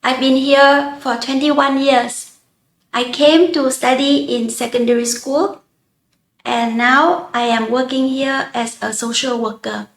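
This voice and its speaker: A woman in her thirties from northern Vietnam introduces herself in careful English with a Vietnamese accent. Her voice is high and her delivery slow and deliberate. The room gives her voice a clear echo. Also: steady tone. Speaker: social worker. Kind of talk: self-introduction to camera.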